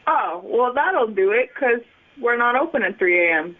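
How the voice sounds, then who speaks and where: A woman's voice: fairly high pitch, animated, moderate pace. A female store employee answering the phone with brief, matter-of-fact customer service replies. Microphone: telephone. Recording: tinny phone line, faint noise.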